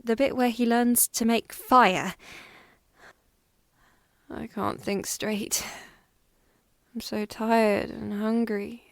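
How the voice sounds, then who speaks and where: A woman playing a frightened, weary young plane-crash survivor in an audio drama monologue, confiding in a halting, plain-spoken, wryly understated way. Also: fairly high pitch, steady tone, full character voice. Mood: weary, practical. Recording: clearly noisy background.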